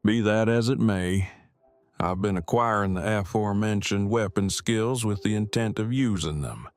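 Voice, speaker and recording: A man's voice: on the low side, moderate pace, no room sound, studio quality, lightly acted. A man acting an awkward, earnest, bookish character in an audio drama, speaking in stilted, wordy, formal phrases. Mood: matter-of-fact, formal.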